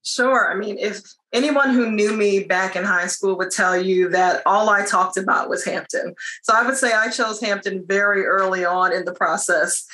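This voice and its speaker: A woman's voice: medium pitch, normal speed, hardly any pauses, sharp tone, rough recording. A woman from Philadelphia on a video call panel, upbeat and confident. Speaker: alumna panelist.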